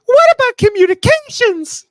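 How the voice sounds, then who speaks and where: A woman's voice: very high-pitched, very animated, normal speed, dry recording. A woman playing an impatient, combative starship officer in an amateur sci-fi radio play. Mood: wary.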